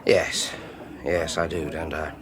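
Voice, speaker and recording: An actor playing a sardonic, bitter British research scientist of about forty in a radio drama, speaking in a clipped, brooding way. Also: male voice, rumbling low, little pitch movement, slow pace, a crowd in the background. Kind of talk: 1980s BBC radio drama acting.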